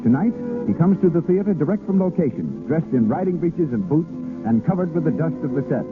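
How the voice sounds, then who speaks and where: A man's voice: fairly high pitch, big pitch swings, brisk. A man announcing a 1930s American radio show from a script in a grand, formal showman's manner, with an American accent. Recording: tinny vintage radio broadcast, music behind. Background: music.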